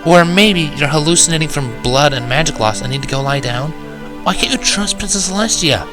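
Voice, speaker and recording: A man's voice: on the high side, lively intonation, fairly quick, music in the background, pitched above the performer's own voice, close to an everyday voice. A man voicing a bossy, skeptical young female unicorn scholar in a fan-fiction story reading, dry and lecturing. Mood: sharp.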